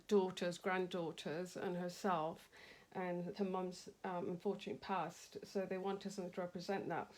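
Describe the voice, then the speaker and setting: Middle-aged woman, bit nasal, rambly chatty craft livestream host, laughs a lot. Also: medium pitch. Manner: chatty, rambling, laughs often, greets viewers by name. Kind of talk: casual live-stream chat.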